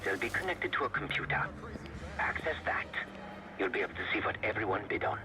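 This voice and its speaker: An actor playing a focused tech operative in a video game, briefing his team in a brisk, instructional way. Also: lowish voice, big pitch swings. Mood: focused, instructive. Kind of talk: video game cutscene acting.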